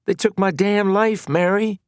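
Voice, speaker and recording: A man's voice: high-pitched, fairly even intonation, a little slow, smooth, dry recording, voice pushed up. A bitter, angry convict who was once a football star , acted out by a man. He talks bluntly in slang, full of frustration at being wronged, as dramatic audiobook dialogue. The sound is dry. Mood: angry, frustrated.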